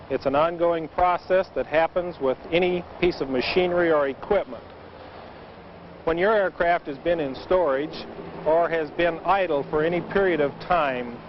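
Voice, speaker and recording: Maintenance guy talking in an old training film, bit high pitched, matter of fact, muffled with some noise. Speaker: aircraft maintenance expert. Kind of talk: explanatory training film talk. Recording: muffled noisy vintage training film soundtrack.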